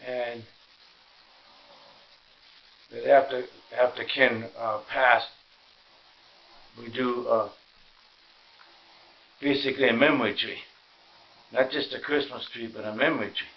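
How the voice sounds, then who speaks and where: A man with a mid-pitched voice narrates a casual home vlog. He sounds tired and talks in a halting, rambling way. The audio is dull and muffled, recorded inside a house as he walks around. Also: fairly even intonation, creaky. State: tired and sore. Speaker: vlog host. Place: inside a house, walking through rooms.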